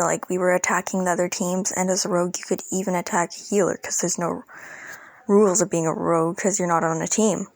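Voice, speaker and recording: A woman's voice: on the low side, no echo. A woman telling a casual, rambling storytime in informal, youthful slang. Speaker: storytelling video creator. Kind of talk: casual storytime about an online roleplay game.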